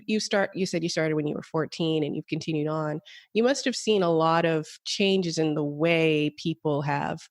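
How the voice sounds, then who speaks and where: A young woman hosting a podcast, asking a guest measured questions from a small room at home into a desk microphone on a boom arm. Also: no room sound, clean studio sound. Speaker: podcast host. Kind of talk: interview questions.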